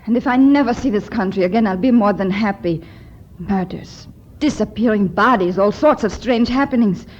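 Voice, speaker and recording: A woman's voice: mid-range voice, moderate pace, a little mellow, close to an everyday voice. An actress playing a spirited young woman archaeologist with dramatic phrasing in 1950s radio adventure style. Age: young adult. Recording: muffled, noisy 1950s radio recording.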